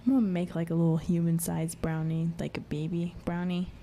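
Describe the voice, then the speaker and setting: A woman's voice: fairly low pitch, level tone, fairly quick, warm tone. Woman host, playful teasing chat, giggly, slight background hiss. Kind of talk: casual chat.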